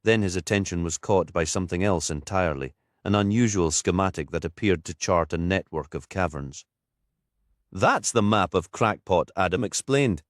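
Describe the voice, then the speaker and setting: A man narrates a crime mystery audiobook from a script. His voice sits low, and the recording is very dry with no room sound. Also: fairly quick, few pauses, no room sound.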